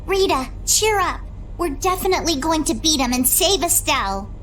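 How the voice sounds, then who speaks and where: A performer voicing an earnest, excitable young boy adventurer in an anime game. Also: high voice, normal speed, a little hiss or hum. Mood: encouraging.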